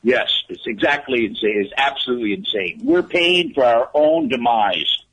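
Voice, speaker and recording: A man's voice: mid-range voice, lively intonation, moderate pace, fairly bright tone, husky, muffled recording. An older retired man, a guest on a political talk radio show, speaking emphatically and repeating phrases for stress.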